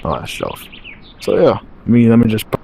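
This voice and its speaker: A man doing a gruff chain-smoking villain on his day off. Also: expressive, moderate pace, dark tone, heavily acted. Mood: laid-back. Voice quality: deep, rough smoker's voice. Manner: laid-back, rambling, swears casually. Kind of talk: playing a figure in a game roleplay.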